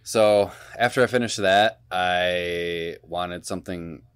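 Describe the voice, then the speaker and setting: A man's voice: low voice, takes it slowly, clean tone. A young man chatting casually about video games as a podcast host, in a rambling way full of hedges.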